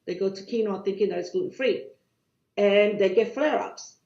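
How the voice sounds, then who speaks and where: A woman with a Cuban accent, a guest doctor in a conversational interview, explaining things in an animated and emphatic way. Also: mid-range voice, normal speed. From Cuba. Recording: dull lo-fi audio in an echoey room.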